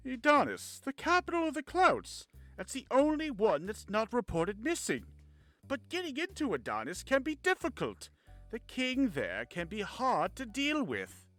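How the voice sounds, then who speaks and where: A man's voice: very high-pitched, wildly swooping pitch, measured pace, a bit bright, faint music behind, full character voice. A man voicing a polite, knowledgeable figure in a fantasy visual novel, formal and expository. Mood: explaining. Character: polite, knowledgeable man explaining ancient lore. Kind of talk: amateur visual novel voice acting.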